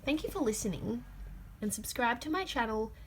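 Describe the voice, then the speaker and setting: A woman reading a children's picture book aloud, with expressive emphasis and drawn-out key words. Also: on the high side, a little hiss or hum, low-quality recording. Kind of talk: read-aloud storytelling for children.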